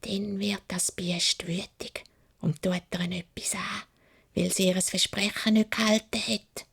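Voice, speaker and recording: A woman's voice: mid-range voice, steady tone, measured pace, vocal fry, a bit bright, slightly rough, full character voice. A woman voicing envious, scheming sisters in a Swiss German fairy tale, conspiratorial and half-whispered. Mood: scheming, gleeful. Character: two envious scheming older sisters, sly and gleeful.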